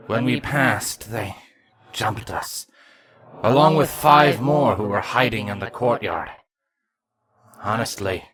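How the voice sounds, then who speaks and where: A man voicing an earnest, dutiful male pony guard captain giving a formal, halting report. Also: fairly low pitch, close to an everyday voice.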